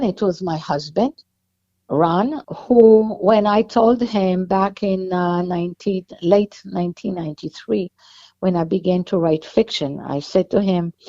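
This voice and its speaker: Israeli-accented woman, author guest, mid-pitched, talks on and on, muffled line. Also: mellow. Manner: chatty, explains at length with restarts.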